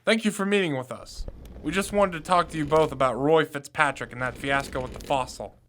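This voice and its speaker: An actor playing a brash, suspicious young amateur detective in an audio drama, with pointed, accusatory questioning. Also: male voice, fairly high pitch, a little fast, a bit bright, slight background noise, lightly acted. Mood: probing, confident. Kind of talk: scripted audio drama acting.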